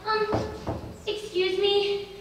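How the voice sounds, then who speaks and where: A woman plays a perky young dancer at a stage audition. Her very high voice is projected through a big echoing hall, far from the mic. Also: little pitch movement, very slow, exaggerated voice. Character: perky young female dancer introducing herself. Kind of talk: stage musical acting, projected. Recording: echoey theatre from far, faint noise.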